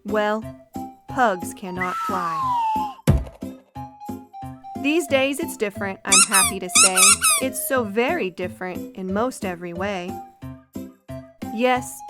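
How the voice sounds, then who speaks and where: Lady reading a rhyming kids book, mid pitch, kiddie music going behind her. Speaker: storybook narrator. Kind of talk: rhyming children's read-aloud. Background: children's music.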